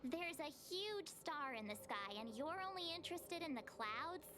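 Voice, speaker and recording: A woman's voice: high voice, animated, normal speed. A woman playing a playful, chatty young female adventurer in a video game, with a dramatic, teasing manner. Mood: playful, exasperated.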